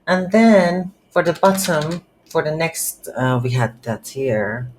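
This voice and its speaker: Slow, halting coding tutor with background noise. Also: medium pitch, lively intonation. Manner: halting, thinking aloud while typing. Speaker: game development tutorial instructor. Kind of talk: unscripted coding tutorial narration. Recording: noisy room with keyboard typing.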